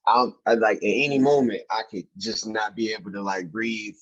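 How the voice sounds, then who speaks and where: A young man chatting casually and in slang as a podcast guest, with an animated manner. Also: lowish voice, moderate pace, breathy edge. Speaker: podcast guest, filmmaker and actor. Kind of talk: casual chat. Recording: dull, muffled lo-fi audio.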